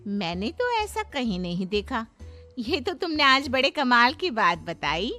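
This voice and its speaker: A woman's voice: on the high side, sing-song, a little slow, bright, forceful tone, heavily acted. Neighbour auntie, played by a woman: middle-aged, courteous, reasoning, a touch amused, cartoon family story over faint music. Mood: mildly amused. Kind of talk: Hindi cartoon moral story acting. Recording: music behind the voice.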